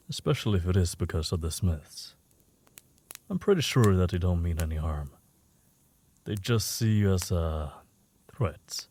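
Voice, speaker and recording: A man's voice: sits low, dark tone, no room sound. A man playing a courteous, self-assured underworld informant in an audio roleplay, talking in a polite, formal way.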